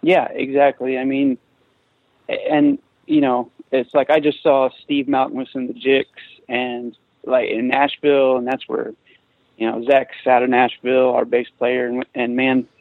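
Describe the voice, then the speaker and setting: A man's voice: mid-range voice, measured pace, creaky, no echo. A man in his forties, a guest musician talking casually in an interview, rambling with many restarts and fillers. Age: 40s. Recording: tinny phone-like remote line.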